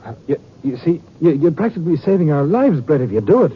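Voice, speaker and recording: A gloomy touring thespian of the Old West, lamenting theatrically with lively swings of pitch. It is radio drama on a muffled old recording with faint noise. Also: male voice, fast. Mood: nervous coaxing. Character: gloomy, fretful traveling stage actor, self-pitying. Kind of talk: old-time radio western acting.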